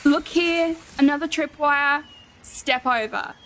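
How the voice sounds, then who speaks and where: A woman's voice: high voice, takes it slowly, a bit bright, a little hiss or hum. A woman playing an exasperated, sarcastic fantasy rogue who scolds her companions in a comic audio drama. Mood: focused, commanding.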